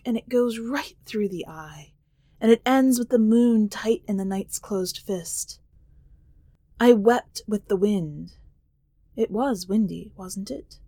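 A woman voicing an eerie, fond, haunted wife in a story, speaking in a lyrical, breathy incantation that dips toward whispers. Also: medium pitch, mellow, dry recording, clean studio sound.

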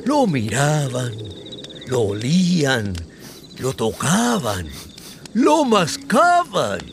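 A man playing the expressive storyteller narrator of a scripted radio play. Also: on the high side, drawn-out words, slight background noise, exaggerated voice. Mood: storytelling. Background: music.

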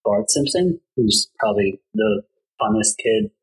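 A man's voice: fairly low pitch, animated, measured pace, husky, rough recording. A man in his thirties from Colombia, chatting casually as a podcast guest, rambling and laughing often. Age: 30s. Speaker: podcast guest, background artist. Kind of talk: casual chat.

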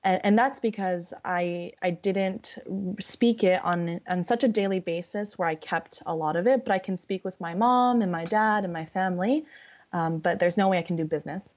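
A woman's voice: fairly low pitch, darkish tone. Young Californian woman, slightly muffled sound. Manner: friendly, fillers and laughs, deliberate. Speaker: guest. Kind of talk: casual conversation. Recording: dull lo-fi sound.

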